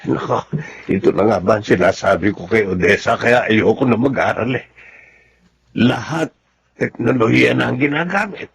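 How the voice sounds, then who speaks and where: A man's voice: on the low side, moderate pace, vocal fry, husky, exaggerated voice. Humble, reluctant Filipino father in his fifties. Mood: frustrated. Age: 50s. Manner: plain-spoken, modest, protesting. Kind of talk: radio drama acting.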